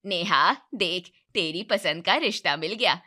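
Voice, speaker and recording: A woman acts out a kindly middle-aged mother in a cartoon moral tale. The voice is set lower, affectionate and full of advice. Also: expressive, measured pace, bright, forceful tone, full character voice. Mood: pleased. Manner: affectionate, advising. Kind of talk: moral-story cartoon voice acting.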